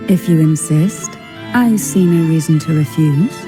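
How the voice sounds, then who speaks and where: Slow, poised noblewoman, low-voiced. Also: over music. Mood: composed, courteous. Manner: formal, poised, languid, measured. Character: elegant, enigmatic noblewoman, head of a powerful family. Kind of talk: video game story dialogue.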